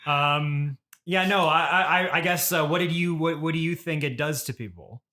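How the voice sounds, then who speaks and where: A man hosting a comedy interview, hesitant and politely puzzled, keeping a deadpan tone. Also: fairly high pitch, moderate pace. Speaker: podcast host, interviewer.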